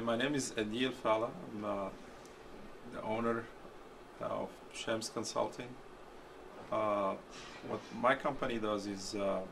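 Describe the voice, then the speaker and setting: A man introducing himself and his work in a halting interview style. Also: fairly low pitch. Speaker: business owner interviewee. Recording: clearly noisy, ambient background.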